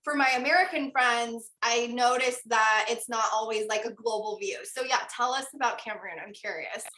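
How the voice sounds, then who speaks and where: A woman's voice: a little high, normal speed, pushed and bright. A woman hosting a podcast interview, chatting in a gushing, filler-heavy way. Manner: effusive, filler-heavy, lots of "like". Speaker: podcast host. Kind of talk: casual interview chat. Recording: echoey room sound.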